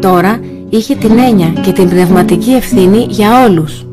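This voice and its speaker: A woman giving scripted storytelling narration for children, with plucked guitar music behind her. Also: mid-range voice.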